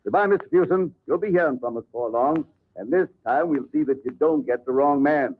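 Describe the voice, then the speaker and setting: An actor playing a calm, resolute marshal with terse cowboy phrasing in an old-time radio western. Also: male voice, fairly high pitch, brisk, narrow, tinny audio, soft background music, lightly acted. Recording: band-limited old radio recording.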